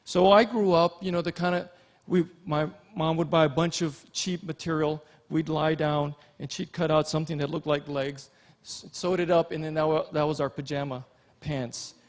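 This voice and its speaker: Higher-voiced middle-aged man, halting keynote storyteller. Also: steady tone. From Hawaii. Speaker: Army general and physician, keynote speaker. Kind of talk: informal keynote speech with personal stories.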